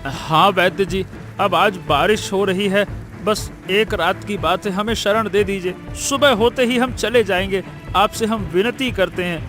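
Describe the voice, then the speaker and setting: Cartoon role for a man: poor, humble village father, earnest, high-pitched voice, soft music bed. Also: a little slow. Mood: pleading. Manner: pleading, earnest, repeating himself. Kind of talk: Hindi cartoon story dialogue.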